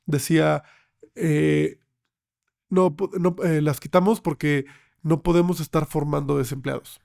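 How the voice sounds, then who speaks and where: A slightly hoarse man with a Mexican accent explaining things conversationally as a podcast guest, often ending on tag questions. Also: a little high, fairly even intonation, unhurried, darkish tone. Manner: checks in with frequent tag questions. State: slightly hoarse from getting over a cold. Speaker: podcast guest, film researcher.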